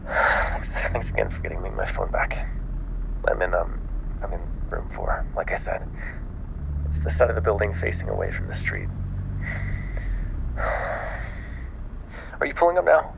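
A man playing a panicked, exhausted newly turned vampire in an ASMR audio roleplay, stammering and often dropping into a breathy whisper. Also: low voice, wildly swooping pitch, background noise, exaggerated voice. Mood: hushed, apologetic. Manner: halting, stammering, breathy sighs and frequent swearing.

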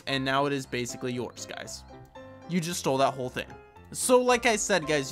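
A man with a slightly raspy voice giving casual, chatty gameplay commentary as a video host, often circling back with little asides. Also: mid-range voice, fairly bright tone. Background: game music.

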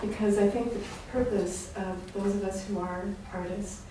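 A woman making an earnest comment from an audience in a halting, deliberate way with many pauses. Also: mid-range voice, steady tone. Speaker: artist in the audience. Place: small hall, away from the mic. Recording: distant, echoey room with background noise.

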